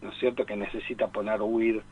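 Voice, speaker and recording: A man's voice: lowish voice, flat delivery, slightly rough, narrow, tinny audio. A man with an Argentine Spanish accent giving conversational, digressive film commentary on the radio, often stopping to seek agreement. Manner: digressive, halting, often seeking agreement with tag questions. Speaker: radio show team member and film columnist.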